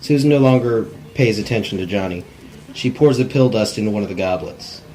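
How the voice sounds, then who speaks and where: A man's voice: fairly low pitch, a little fast, darkish tone, rough recording. A man reading stage directions in an amateur cold table read, plainly and with stumbles over words. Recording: faint background noise.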